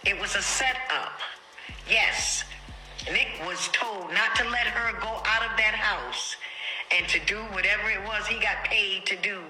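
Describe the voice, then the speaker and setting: Very sharp, emphatic woman in echoey room. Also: medium pitch, measured pace. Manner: emphatic, insistent, repeats phrases. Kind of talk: impassioned spoken testimony. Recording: echoey room, music underneath.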